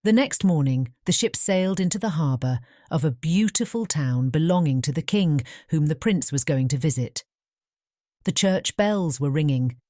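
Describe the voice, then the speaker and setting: Low, mellow British woman narrating. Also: no echo, studio quality. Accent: British English. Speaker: audiobook narrator. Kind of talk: scripted fairy tale narration.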